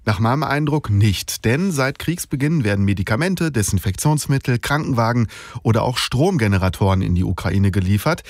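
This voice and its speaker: A man's voice: mid-range voice, lively intonation, fairly quick, no echo, clean studio sound. A man giving a scripted news report as a radio reporter.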